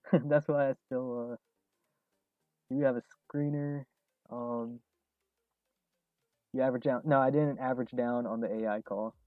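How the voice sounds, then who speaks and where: A man's voice: medium pitch, a little slow, long pauses, no echo, phone-quality sound, faint music behind. Young man streaming stock trades, thin sound. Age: 20s. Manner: relaxed, chuckles often, many fillers. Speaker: stock trading livestream host. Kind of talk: casual livestream chat reading viewer questions.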